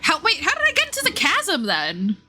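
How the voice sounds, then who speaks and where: A woman's voice: extremely high pitch, huge pitch swings, fairly quick, sharp tone, faint music behind. A woman livestreaming a game in casual chat, with a giggly, playful manner, sarcastic asides and some swearing. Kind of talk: casual chat, reacting to game story while playing.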